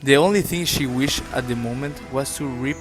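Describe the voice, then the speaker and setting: A young man narrates a scripted fairytale. His voice sits at an average pitch, with faint music playing underneath. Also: moderate pace.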